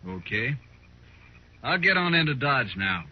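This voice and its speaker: An actor playing a swaggering, suspicious Old West outlaw in a 1950s radio western, with boastful, folksy cowboy talk. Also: male voice, medium pitch, very animated, unhurried. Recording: dull vintage radio recording.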